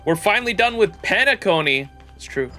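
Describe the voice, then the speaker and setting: A man's voice: high voice, lively intonation, brisk, sharp tone. A man livestreaming a game with casual, jokey, profane commentary, sometimes reading dialogue aloud into a close mic. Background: game music.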